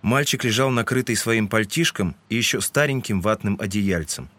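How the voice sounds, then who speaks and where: A man's voice: on the low side, moderate pace, a bit bright. Man narrating a literary audiobook.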